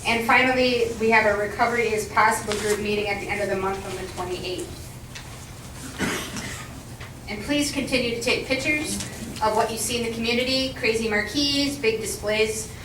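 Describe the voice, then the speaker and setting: A woman's voice: on the high side, normal speed. Woman coordinator, casual announcements, heard from across an echoey, noisy room. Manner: friendly, informal, with frequent ums. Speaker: meeting coordinator. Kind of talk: announcements at a community meeting. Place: meeting room. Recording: roomy, distant, noisy room recording.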